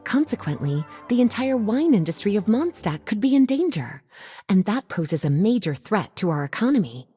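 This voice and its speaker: A woman voicing a poised, indulgent librarian in a video game, velvety, articulate and gently teasing. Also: medium pitch, big pitch swings, normal speed, breathy edge, faint music behind. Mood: measured.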